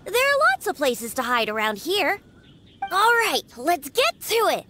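A woman's voice: very high voice, big pitch swings, unhurried, pushed and bright, a little hiss or hum, full character voice. A woman voicing a feisty, scornful young girl in a video game, with a pert, childlike, scolding manner. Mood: bossy, businesslike. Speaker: game character voice actor. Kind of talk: video game character dialogue.

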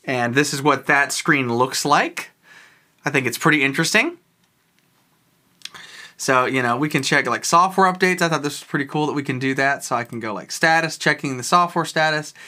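A tech video host giving a casual, rambling walkthrough of a software tool, thinking aloud while clicking. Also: medium pitch, normal speed.

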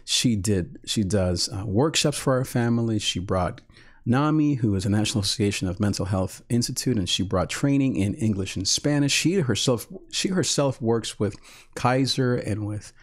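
Animated middle-aged pastor talking into a close mic. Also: male voice, fairly low pitch, moderate pace. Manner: animated, gestures a lot with his hands while talking. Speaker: pastor and livestream host. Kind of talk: livestreamed talk to viewers. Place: small home office, indoors. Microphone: large studio mic on a boom arm, close.

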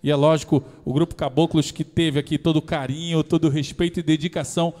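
A man's voice: on the high side, quick pace. A man in his forties hosting a live TV variety show, speaking through a handheld mic in a studio with a band. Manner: energetic, cheers and chants along. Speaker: TV show host and toada singer. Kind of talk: lively TV variety chat with singing. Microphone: handheld microphone. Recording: reverberant studio with music behind.

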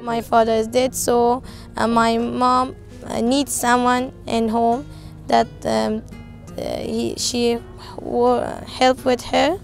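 A fifteen-year-old girl from Afghanistan with an Afghan accent, answering interview questions in halting, simple non-native English. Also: on the high side, level tone, creaky, soft background music. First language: not English. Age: 15, teenager. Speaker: interviewee, volunteer translator. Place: outdoors on a roadside.

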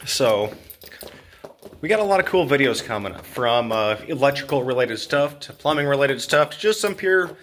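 A man's voice: medium pitch, lively intonation, moderate pace, fairly bright tone, a little hiss or hum. A man in his thirties hosting a casual vlog, rambling and joking at his own expense as he films himself with a handheld camera, indoors and out. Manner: rambling, self-deprecating, filler-heavy. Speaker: channel host. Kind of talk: casual vlog walk-and-talk. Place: abandoned building halls and outdoor grounds. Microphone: handheld camera held close, low angle.

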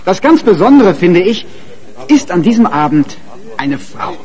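Pompous, playful toast-giver, radio drama, higher male voice, animated, declamatory. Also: unhurried. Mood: playful, mock-solemn. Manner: declamatory, teasing, repetitive build-up.

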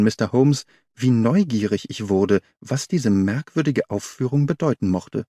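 Expressive man reading an audiobook. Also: mid-range voice, breathy edge, no echo, studio quality. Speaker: audiobook narrator. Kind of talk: scripted literary narration, audiobook reading.

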